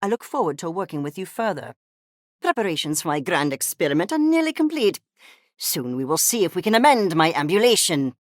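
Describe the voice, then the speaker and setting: A woman's voice: fairly low pitch, sing-song, fairly quick, no room sound, studio quality. A woman voicing a haughty, eccentric furry creature scientist in a video game, speaking in a verbose, condescending and theatrical way with precise articulation. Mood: commanding, eager. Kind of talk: video game character acting.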